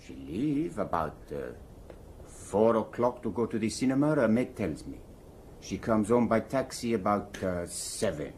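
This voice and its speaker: An actor playing a deferential Italian chauffeur with an Italian accent, speaking hesitantly and politely in broken grammar. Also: male voice, on the low side, very animated, measured pace, darkish tone, a little hiss or hum. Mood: polite, guarded. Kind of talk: radio drama acting.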